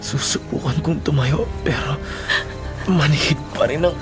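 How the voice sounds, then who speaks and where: A man's voice: a little high, measured pace, music in the background, lightly acted. Man acting a frightened young captive, anguished. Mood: weak, dazed. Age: young adult. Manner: anguished, earnest, dramatic. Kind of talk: Filipino radio drama acting and narration.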